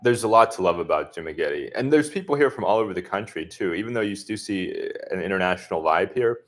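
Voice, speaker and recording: A man from the southern US answering viewers' comments in a relaxed livestream chat, speaking with a deliberately neutral accent and many filler words. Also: low voice. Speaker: expat vlogger answering live chat. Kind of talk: casual livestream Q&A.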